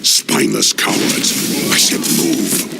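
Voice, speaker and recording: An actor playing a ruthless, power-hungry orc warlock commander, barking and grandiose, in a video game. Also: male voice, takes it slowly, very noisy, close to an everyday voice. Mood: barking, impatient. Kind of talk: villainous video game acting.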